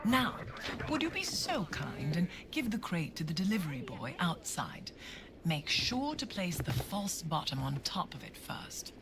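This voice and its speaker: Shrewd museum patroness for game cutscenes: gracious, theatrical, very expressive intonation. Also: female voice, lowish voice, a little slow, noisy background. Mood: delighted, conspiratorial. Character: genteel, shrewd Egyptian dame and museum benefactor. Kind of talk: video game cutscene acting.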